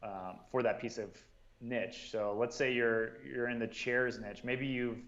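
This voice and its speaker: A man at an ordinary middle pitch, talking through advice in a relaxed, easygoing way and leaning on "um" and "you know" as he goes. His audio sounds a little dull and muffled, like a remote call. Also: measured pace. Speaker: guest, marketing and sales coordinator. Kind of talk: casual podcast discussion.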